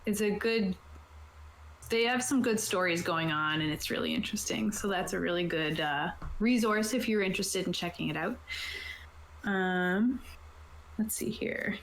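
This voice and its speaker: A woman acting as game master, casually explaining rules from a book in an informal, halting way. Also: mid-range voice, a bit bright, a little hiss or hum. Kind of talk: casual explanatory talk, reading from a rulebook. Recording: roomy, echoing sound.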